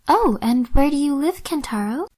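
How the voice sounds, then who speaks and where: A sweet young lady manager, acted by a woman in an audio play. She speaks in a fairly high voice with polite, gentle manners. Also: animated, moderate pace. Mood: reassuring. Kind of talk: fan audio drama acting.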